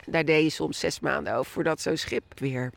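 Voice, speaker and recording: A woman with a creaky voice, explaining history as an interviewed expert in a halting, conversational way. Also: sits low, fairly even intonation, no echo. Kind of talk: informal spoken explanation.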